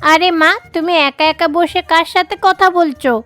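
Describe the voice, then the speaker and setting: A little cartoon bird daughter, acted by a woman, pitched very high. The delivery is sweet, childlike and earnest, the sound of a considerate schoolgirl. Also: steady tone, moderate pace, a bit bright, faint music behind. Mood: concerned.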